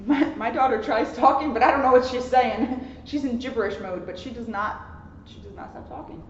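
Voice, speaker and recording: A woman's voice: on the high side, brisk. A young adult woman reads a children's storybook aloud expressively, with chatty, talkative asides. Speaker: police officer reading a children's book. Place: indoor lounge at a borough hall. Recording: echoey reverberant room, muffled, some noise.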